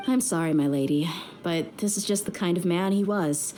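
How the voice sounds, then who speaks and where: A woman's voice: on the low side, normal speed, darkish tone, a little hiss or hum, close to an everyday voice. An actress playing a tough young woman mercenary in a video game, dry and weary with tenderness underneath. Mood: dry, exasperated. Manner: dry, weary, plain-spoken. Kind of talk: video game character dialogue.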